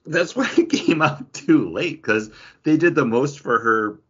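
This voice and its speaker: A man chats casually as a podcast co-host. His voice is bright and forceful with a husky rasp and lively pitch movement, on a somewhat dull recording. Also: a little slow. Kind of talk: casual chat.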